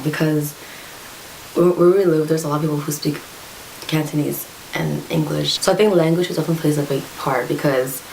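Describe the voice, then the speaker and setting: A young woman from Hong Kong speaks in a low voice with a gravelly edge, working through her answer step by step. A faint hiss sits under the recording. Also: darkish tone, rough recording. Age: young adult. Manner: measured, lays out points in order. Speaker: student interviewee. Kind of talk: interview answer.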